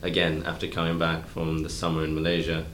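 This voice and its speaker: A man talking in a relaxed, thoughtful way, often trailing off. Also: extremely low pitch, brisk, reverberant, a little hiss or hum. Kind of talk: casual chat.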